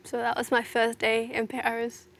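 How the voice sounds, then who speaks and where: A woman's voice: fairly high pitch, completely flat delivery, moderate pace, gravelly, fairly bright tone. A young woman from South Sudan, a fashion model, answering interview questions in an earnest, reflective way, often adding "you know". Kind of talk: casual personal interview.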